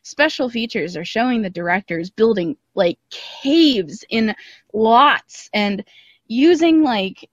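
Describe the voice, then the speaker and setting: A woman's voice: a little high, lively intonation, slow pace, no room sound. A young woman chatting casually and at length as a podcast guest, talking in a self-deprecating way. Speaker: podcast guest, audio drama creator. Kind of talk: casual interview chat. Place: dry room, calling in remotely. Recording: slightly dull, band-limited call audio.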